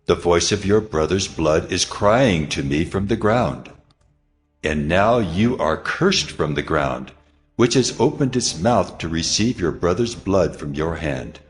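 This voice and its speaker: A man playing God as a solemn, commanding deity, grave and measured, in a dramatized scripture recording. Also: deep voice, expressive. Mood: grave judgement. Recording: long reverb over music.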